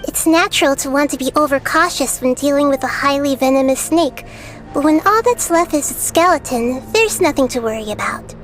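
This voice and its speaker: A woman playing a calm, kind young girl goddess in a game, speaking in a measured, thoughtful way. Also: high voice, soft background music. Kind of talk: game cutscene voice acting.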